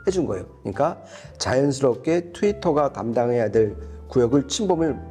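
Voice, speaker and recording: A man's voice: medium pitch, animated, brisk, warm tone, soft background music. A man giving an explanatory product review as a co-host, going step by step and rephrasing as he goes.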